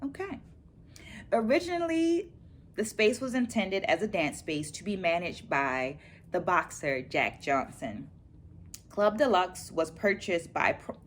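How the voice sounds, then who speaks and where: A middle-aged woman presenting to viewers as a dance educator, in a measured, careful, teacherly way. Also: low voice. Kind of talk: book-review vlog, presenting takeaways to viewers. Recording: clear background noise, room ambience.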